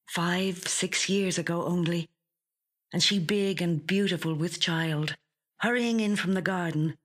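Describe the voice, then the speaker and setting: Female narrator for literary fiction: low register, husky edge, measured but expressive dramatic delivery. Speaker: professional actor reading short stories. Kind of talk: literary short story narration. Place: recording studio.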